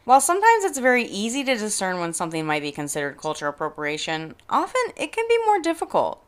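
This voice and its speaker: A woman with an American accent hosting a podcast, reading scripted personal narration in an expressive, emphatic way. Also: lowish voice. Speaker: podcast host.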